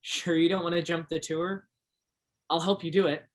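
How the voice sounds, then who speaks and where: An actor playing an earnest, curious twenty-two-year-old backwoods local in a stage play, gentle and simple in manner. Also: medium pitch, steady tone, normal speed, lightly acted. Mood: friendly, awkward.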